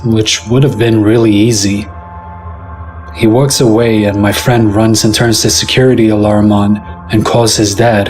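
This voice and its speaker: Low-voiced young man telling a scary story. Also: a little mellow. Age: 20s. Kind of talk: first-person scary story narration. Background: background music.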